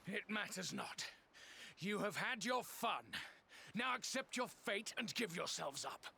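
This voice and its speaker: A man's voice: sits high, animated, measured pace, creaky, sharp tone, slight background noise, full character voice. A man voicing an arrogant, scornful knight villain in a video game, with pompous, drawling menace. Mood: gloating menace.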